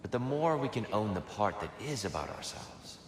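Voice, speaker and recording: Deep, echoing, gentle wise god. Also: male voice, sing-song, slight background noise. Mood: reflective. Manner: measured, philosophical, questioning. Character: gentle, wise male god, reflective counsellor. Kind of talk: dramatic video game dialogue. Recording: echoing hall with long reverb. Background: music.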